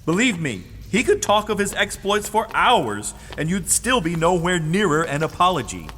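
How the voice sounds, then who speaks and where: A man's voice: high voice, sing-song, moderate pace, a bit bright, slight background noise. An actor in an audio drama playing a prim, indignant male scholar who speaks in formal, pompous phrases. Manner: formal, pompous, precise wording. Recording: reverberant hall with music behind.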